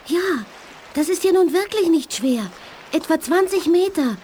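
An extremely high, slow voice: an eager little childlike mutant seer. It is radio play acting in short simple phrases, over faint ambient noise. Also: female voice, expressive, dark tone. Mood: eager, concentrating. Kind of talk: 1980s German radio drama acting.

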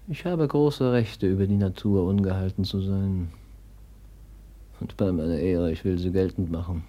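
An actor playing a scheming, envious younger son in a classical radio play, theatrical and declamatory, with an oily show of devotion. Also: male voice, low voice, warm tone, heavily acted. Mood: cold, bitter scheming. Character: scheming, envious younger son, falsely pious, coldly ambitious.

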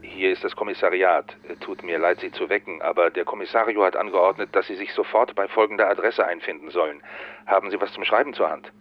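A formal male police dispatcher speaks over a muffled telephone line in a radio crime drama. His low voice is quick and matter-of-fact. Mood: businesslike. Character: brisk, businesslike male police dispatcher on phone. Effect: telephone filter. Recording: muffled phone line, faint music.

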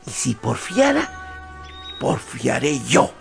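An actor playing a wily, flustered peasant squire who wheedles and stammers in a radio drama. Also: male voice, medium pitch, wildly swooping pitch, drawn-out words, vocal fry, faint music behind, full character voice. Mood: scheming.

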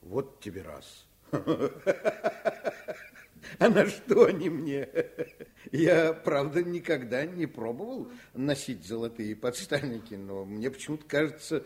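An actor playing a kindly doctor father, gently teasing and playful with his child, in a radio play. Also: mid-range voice, very animated, measured pace. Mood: amused.

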